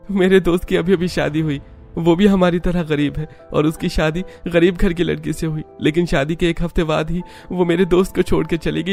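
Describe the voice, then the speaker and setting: An actor playing a tender, earnest young husband in a cartoon story. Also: male voice, sits high, gravelly, darkish tone. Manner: earnest, heartfelt. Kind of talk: Hindi cartoon story dialogue.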